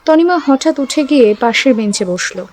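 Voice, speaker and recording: A woman's voice: high voice, lively intonation, moderate pace, darkish tone. A woman reading a scripted audio story as its narrator. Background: background music.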